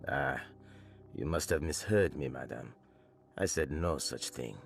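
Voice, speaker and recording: Game role: courteous but guarded occult shopkeeper, extremely low, creaky, terse and measured. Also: male voice, faint music behind, full character voice. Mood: guarded, stern. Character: guarded, courteous male shopkeeper selling voodoo charms. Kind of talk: video game character acting.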